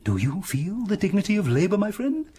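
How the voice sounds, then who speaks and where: A self-satisfied, wealthy English gentleman in period radio drama. The voice is badly rasped and husky, set fairly high, with lively swings of pitch. He pontificates grandly, then dithers and blusters. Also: a little fast, heavily acted. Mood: condescending. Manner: pompous, blustering, hesitant, grandly sermonizing.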